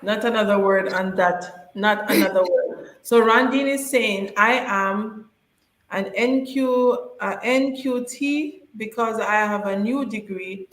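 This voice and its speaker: A woman hosting a teaching live stream, reading viewer questions and giving brisk, emphatic, encouraging advice. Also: mid-range voice, reverberant, slight background noise, poor audio.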